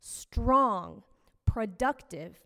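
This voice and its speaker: A woman's voice: on the high side, expressive, vocal fry, a bit bright. Woman minister preaching in a reverberant hall. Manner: personal, reflective, measured. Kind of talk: sermon. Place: fellowship hall.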